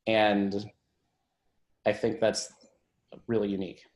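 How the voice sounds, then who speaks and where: A man's voice: on the low side, monotone, slow, reverberant. A man who works as a tech executive, giving an informal presentation on a conference call.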